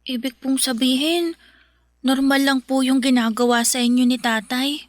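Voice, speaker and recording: Woman acting a polite, high-voiced young Filipino girl. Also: steady tone, normal speed, no room sound, studio quality, close to an everyday voice. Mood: earnest, troubled. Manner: polite, earnest. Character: young daughter, innocent, questioning, respectful schoolchild. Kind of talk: radio drama acting.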